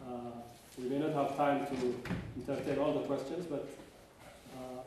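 Slow-talking male session chair. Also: medium pitch, fairly even intonation, noisy background. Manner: slow, halting, many fillers. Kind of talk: moderating a panel. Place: large conference hall. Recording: echoey hall from far off.

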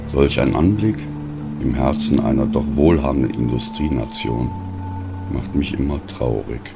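Very deep, creaky man narrating. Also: moderate pace, over loud music. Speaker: audiobook narrator. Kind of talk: scripted narration of a diary story.

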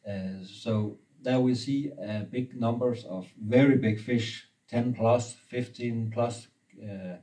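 A fishing guide in his forties whose first language is Danish, explaining steadily with pauses, recorded indoors from a few feet away. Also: on the low side, dark tone, distant mic, poor audio. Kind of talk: casual interview. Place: indoor room with brick wall and river map.

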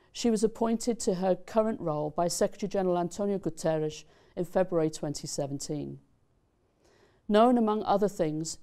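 Middle-aged woman giving a formal dean's speech, mellow lowish voice, slow and stately. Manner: measured, deliberate, stately delivery. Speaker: dean giving commencement remarks. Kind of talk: formal prepared ceremonial speech. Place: studio set with screens, indoors.

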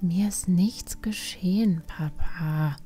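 A woman's voice: on the low side, slow, dark tone. A woman voicing a defiant, adventurous young panda girl in a fantasy audiobook, sulky and protesting. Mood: sulky, sarcastic. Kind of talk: acted fantasy audiobook dialogue.

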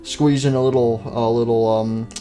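A man's voice: medium pitch, steady tone, moderate pace, mellow, soft background music, natural voice. A man voicing a busy, self-assured pirate fox animatronic who talks down in rambling pirate speech for a cartoon skit. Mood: busy, apologetic. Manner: rambling, patronizing pirate talk.

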